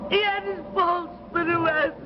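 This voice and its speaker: A plaintive working-class widow in a 1950s drama. She is distraught yet blunt and suspicious, repeating her words. The sound is dull and clearly noisy, like an old broadcast. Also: very high-pitched, steady tone, moderate pace. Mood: grieving. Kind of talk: 1950s anthology drama acting.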